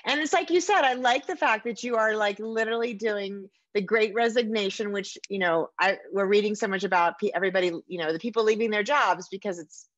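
A woman's voice: medium pitch, brisk, hardly any pauses, fairly bright tone, no echo. American woman hosting, slightly muffled sound. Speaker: podcast host interviewing an author. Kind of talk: casual interview chat. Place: book-lined room at home, indoors. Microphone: video call.

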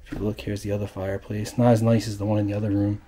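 A man's voice: fairly low pitch, little pitch movement, racing, noisy background. A man giving a casual, understated, matter-of-fact walk-through as an exploring vlogger. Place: indoors, inside an abandoned old house.

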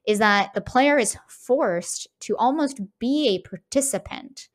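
A woman's voice: fairly high pitch, animated, measured pace. A woman co-hosting a podcast, explaining her points casually and emphatically, often checking in with short tag questions. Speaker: podcast co-host. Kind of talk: casual conversational game discussion.

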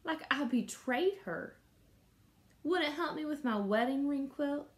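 A woman's voice: high-pitched, animated, takes it slowly. A woman in her thirties reading a novel aloud expressively, like a teacher telling a story. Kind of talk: expressive audiobook-style read-aloud of a novel. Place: small indoor room at home. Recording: noticeable room noise.

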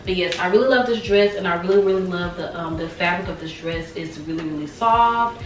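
A young woman in her twenties chatting casually through a clothing try-on, her voice a little raspy from a sore throat, repeating words for emphasis. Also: fairly low pitch, fairly quick. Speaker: fashion vlogger. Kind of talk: casual try-on haul chat. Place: small bright bedroom. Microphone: camera mic a few steps away. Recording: roomy sound from a distant camera.

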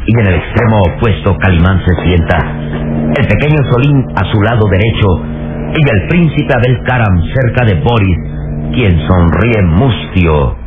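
A man playing the solemn narrator of a suspense radio serial, measured and dramatic. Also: lowish voice, expressive, noisy background, lightly acted. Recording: tinny old radio recording, loud music.

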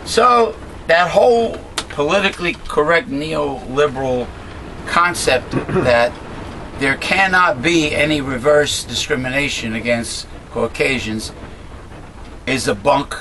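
A middle-aged man with a raspy voice, talking casually to a webcam from a small cluttered room at home, rambling with many fillers and restarts as he airs blunt opinions. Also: a little high, takes it slowly, bright, forceful tone, slight background noise, low-quality recording. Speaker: host of a political commentary channel. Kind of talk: casual monologue, talking to camera.

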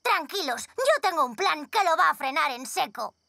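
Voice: high voice, big pitch swings, moderate pace, creaky, sharp tone, heavily acted. An actor playing an eager, earnest young child superhero in a cartoon dub, speaking emphatically. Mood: determined. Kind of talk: animated children's series dub.

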